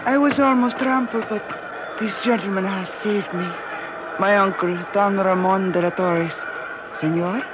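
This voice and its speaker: A woman acts a gracious young señorita. She speaks in old radio drama style, through a muffled recording with clear noise. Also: mid-range voice, fairly even intonation, measured pace, lightly acted. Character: gracious young Mexican Californio lady. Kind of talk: 1950s radio drama acting.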